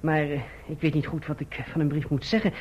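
An actor playing an earnest young gentleman in love in a radio play, with a slightly husky voice, on a dull recording. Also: fairly high pitch, flat delivery, brisk. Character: earnest, anxious young gentleman in love, narrating his story. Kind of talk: radio play acting and narration.

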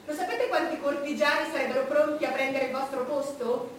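A woman's voice: sits high, brisk, lightly acted. An actress playing an imperious, scornful, vulgar-tongued Queen of England in a theatrical stage monologue, with a commanding delivery. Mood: imperious fury. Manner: theatrical, commanding, swinging from hushed menace to loud outbursts. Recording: echoey, distant, noisy stage recording.

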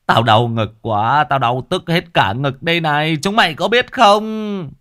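A man's voice: fairly high pitch, unhurried, fairly bright tone, pitched above the performer's own voice. A man voicing a crazed, foul-mouthed young man who rants and curses. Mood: ranting. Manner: ranting, cursing.

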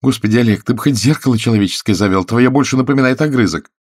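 Man voicing a haughty, venomous actress, pitched up. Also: very fast, husky, no room sound, studio quality, lightly acted. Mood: scornful, irritated. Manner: spiteful, ironic, melodramatic. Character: haughty, shrill actress woman, venomous and theatrical. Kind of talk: audiobook character dialogue.

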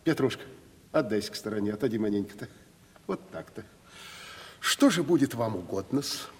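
An actor in a theatrical radio play, playing a sly, stubborn provincial timber merchant with a folksy, mock-deferential way of haggling. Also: male voice, lowish voice, expressive, normal speed, slightly rough. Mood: gruff, wary. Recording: reverberant 1970s radio play studio.

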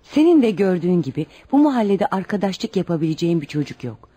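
A woman's voice: fairly low pitch, sing-song, fast, dark tone, husky, soft background music. An actress in a radio play playing a poor, devout widowed mother, protective and anxious, who speaks in an earnest, instructive way. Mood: worried, resigned. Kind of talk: radio theater acting.

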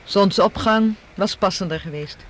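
An actress playing a poised, warm, quick-witted woman in a radio drama, gently expressive. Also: mid-range voice, natural voice. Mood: calm, gentle.

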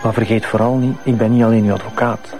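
An actor as an insinuating Flemish lawyer over music. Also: male voice, lowish voice, steady tone, quick pace, darkish tone, natural voice. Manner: coaxing, needling. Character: insinuating, menacing male lawyer. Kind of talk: TV crime drama dialogue. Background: clear music.

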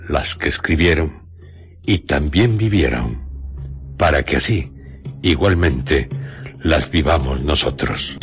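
Very deep, slow male narrator. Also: animated, husky. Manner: solemn, theatrical, short phrases with long pauses. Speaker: radio drama presenter and narrator. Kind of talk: dramatic scripted narration. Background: music behind the voice.

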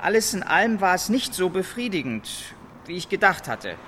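A man playing an earnest, bereaved security guard giving a halting public witness statement in a radio drama. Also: sits high, sing-song, fairly bright tone, a little hiss or hum. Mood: solemn, bitter.

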